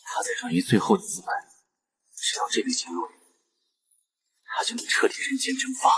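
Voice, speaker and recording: A man's voice: very high-pitched, huge pitch swings, fairly quick, fairly bright tone, exaggerated voice. A man playing a brainy, grave investigator in a drama, explaining things with serious urgency. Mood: grave, urgent. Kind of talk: dramatic Chinese audio-drama acting.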